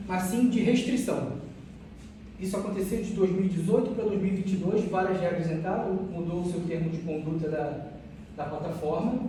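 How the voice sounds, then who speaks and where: A Brazilian man giving an academic research presentation as a student, haltingly, often repeating and restarting phrases. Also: high voice, warm tone. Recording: distant mic, very echoey room, faint noise.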